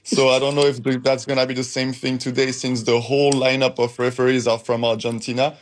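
A young man speaks earnestly as a fan on a radio show. His voice sits at an ordinary middle pitch. He restarts his sentences now and then, and some of his phrasing is slightly non-native. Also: level tone, a little fast. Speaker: football fan guest. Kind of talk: live radio interview.

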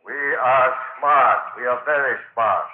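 A man's voice: mid-range voice, slow pace, a little hiss or hum, close to an everyday voice. A man playing a superior, menacing Martian invader in an old science fiction radio drama, commanding and ominous. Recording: echoey, tinny band-limited old radio.

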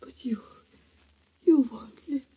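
A frail dying old aunt. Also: female voice, high-pitched, fairly even intonation, slow pace, breathy edge, full character voice. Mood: feeble. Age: older. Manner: faint whispering, tearful. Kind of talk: 1940s radio acting. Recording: dull old radio recording.

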